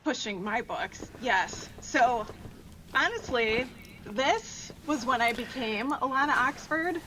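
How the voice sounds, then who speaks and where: A woman's voice: fairly high pitch, lively intonation, slow pace, pushed and bright, a little hiss or hum, poor audio. A woman author chatting casually as a podcast guest in an agreeable, lightly wry way. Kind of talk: casual chat.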